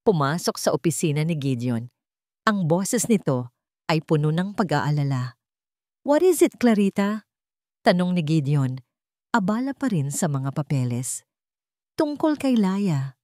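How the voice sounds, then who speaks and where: A woman's voice: low-pitched, dark tone, dry recording, studio quality. A woman with a Filipino accent reading a scripted dramatic romance story as its narrator.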